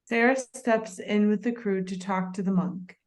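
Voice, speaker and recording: Narrator: female, medium pitch, reading scripted stage directions at a table read. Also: steady tone, a little slow.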